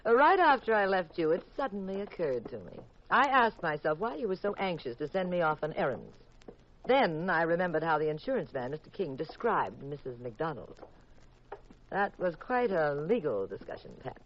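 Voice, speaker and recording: An actress playing a detective's sharp, teasing wife in a 1950s radio mystery, with an arch, sardonic delivery. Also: female voice, fairly low pitch, big pitch swings, moderate pace, a little hiss or hum. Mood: teasing, sarcastic. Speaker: lead actress and narrator. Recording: muffled vintage radio recording.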